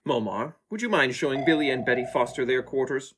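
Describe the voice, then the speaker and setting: An actor playing an earnest, commanding Martian leader, formal and pompous, in a comic audio play. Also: male voice, mid-range voice, brisk, a bit bright, a little hiss or hum, natural voice. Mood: courteous.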